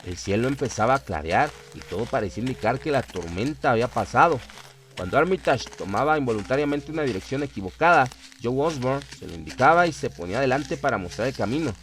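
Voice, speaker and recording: Male horror narrator, mid-pitched voice. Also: lively intonation, fast, a bit bright, a little hiss or hum. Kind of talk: dramatic scripted horror narration.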